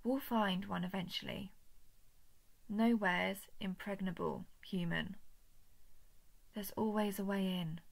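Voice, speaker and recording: A woman voicing a coldly menacing, falsely gentle alien parasite in an intimate horror audio roleplay, condescending, often slipping toward a breathy whisper. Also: medium pitch, fairly even intonation. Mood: calm menace. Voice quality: creaky, breathy with frequent whispering. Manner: measured, condescending, menacing coaxing.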